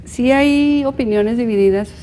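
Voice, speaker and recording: A woman with a northern Mexican accent explaining things as a panel guest in a measured, academic way. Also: fairly high pitch, dark tone, a little hiss or hum. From Chihuahua, northern Mexico. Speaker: panel guest, social researcher.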